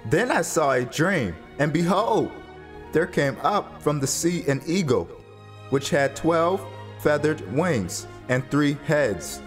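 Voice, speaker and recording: A man narrating dramatized scripture in a solemn, measured storytelling manner. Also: fairly high pitch, expressive, soft background music.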